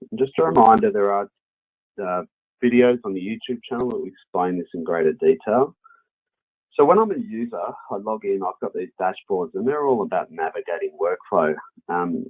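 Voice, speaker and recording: A man with an Australian accent and a low, husky voice explains a product in a webinar. He talks conversationally, as a presenter walking people through something. The audio is slightly dull and lo-fi.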